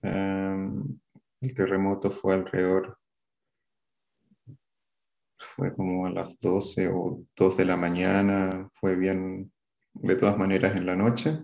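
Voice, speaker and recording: A man in his thirties from Chile with a Chilean Spanish accent, recalling an event as an interviewee, halting and hesitant with many fillers. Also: sits low, completely flat delivery, vocal fry, darkish tone, echoey room.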